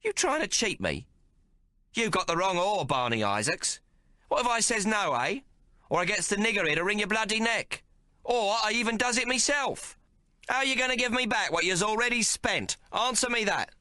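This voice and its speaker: A man's voice: mid-range voice, big pitch swings, brisk, pushed and bright, dry recording, voice pushed up. A man voicing a bossy, coarse young woman who haggles fiercely in an audiobook. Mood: furious. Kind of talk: audiobook character voice.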